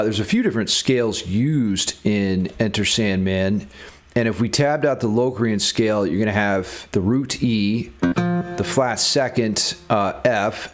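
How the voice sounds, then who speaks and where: A man giving a casual guitar theory tutorial, thinking aloud and enthusiastic about the material as he explains it. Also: fairly low pitch. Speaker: guitar music theory teacher.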